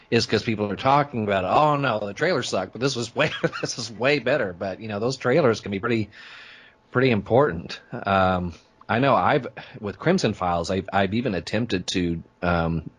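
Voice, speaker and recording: A man speaking as an interview guest in casual conversation, easygoing and agreeable. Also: on the low side. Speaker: interview guest, podcaster and writer.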